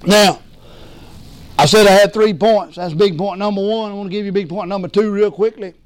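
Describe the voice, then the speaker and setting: A man preaching a sermon as pastor, hoarse from losing his voice, emphatic and calling for responses. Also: high voice, fairly even intonation, moderate pace, no room sound. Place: church sanctuary.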